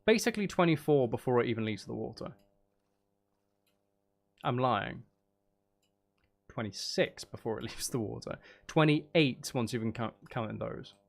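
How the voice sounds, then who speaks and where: A man's voice: medium pitch, animated, moderate pace, gravelly, pauses often. A man giving casual gameplay commentary, muttering to himself in a playful, self-deprecating, sweary way. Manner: mutters and counts aloud to himself. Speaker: streamer.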